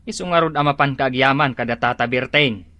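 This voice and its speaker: A man's voice: mid-range voice, a little fast, sharp tone, no room sound, natural voice. A man playing a sickly village husband. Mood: grumbling.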